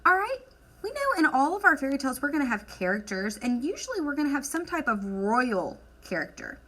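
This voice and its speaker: A woman teacher leading an instructional lesson for children, asking questions, encouraging answers and dictating deliberately as she writes. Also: high-pitched, very animated, a bit bright. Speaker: elementary school teacher.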